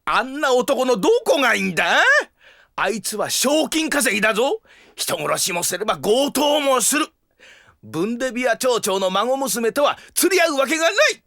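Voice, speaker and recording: Greedy old grandpa, wildly swinging pitch. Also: male voice, big pitch swings, moderate pace, creaky, sharp tone, no echo, studio quality, exaggerated voice. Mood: indignant. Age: older. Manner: blustering, theatrical, swinging between coaxing and outbursts. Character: greedy, scheming old grandfather, small-town mayor. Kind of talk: Japanese audio drama acting.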